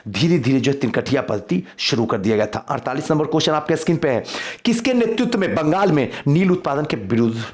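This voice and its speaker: A man teaching exam history in a quiz lesson, reading out questions and explaining answers, repeating key points for emphasis. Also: mid-range voice.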